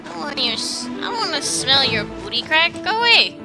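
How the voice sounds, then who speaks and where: A woman's voice: sits high, very animated, normal speed. A woman game streamer reacting casually over gameplay, with slangy, muttered asides. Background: game music.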